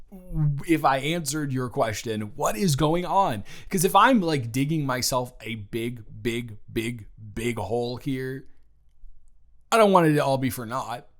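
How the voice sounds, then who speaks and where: Man acting a halting, earnest young guy friend. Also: mid-range voice, lightly acted. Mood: exasperated, coaxing. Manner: halting, stammering, earnest, restarting phrases. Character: protective young man, smitten friend, sincere and flustered. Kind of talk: improvised intimate audio roleplay addressed to the listener.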